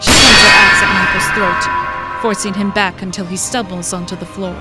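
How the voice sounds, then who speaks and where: A woman's voice: mid-range voice, fairly even intonation, moderate pace, loud music behind the voice. A woman reading scripted, dramatic narration for a fantasy story as its narrator.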